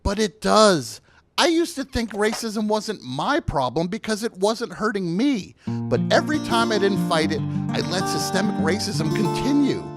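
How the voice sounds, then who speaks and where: A woman's voice: mid-range voice, sing-song, moderate pace, soft background music. A woman playing an earnest, encouraging human mentor in a cartoon short.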